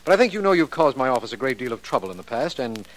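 A man's voice: medium pitch, sing-song, very fast, lightly acted, poor audio. An actor playing an official, guarded male district attorney in a 1940s radio drama.

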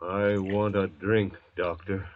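A defiant, quarrelsome convict in a mid-century radio adventure. His delivery is slow, blunt and sullen, heard through a dull vintage recording. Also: low-pitched, fairly even intonation. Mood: surly, defiant. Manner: blunt, surly, combative. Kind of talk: 1940s-50s radio drama acting.

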